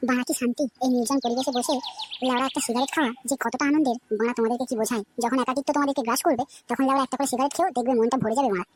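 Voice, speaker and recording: A woman's voice: high-pitched, fairly even intonation, very fast, noisy background, natural voice. A woman voicing an idle, cocky young man in a comedy cartoon, slangy and full of swearing. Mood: contented. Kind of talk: amateur comedy cartoon voice-over.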